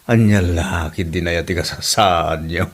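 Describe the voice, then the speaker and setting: A man's voice: low-pitched, steady tone, normal speed. A man acting as an amused, teasing visiting relative in a comedy drama.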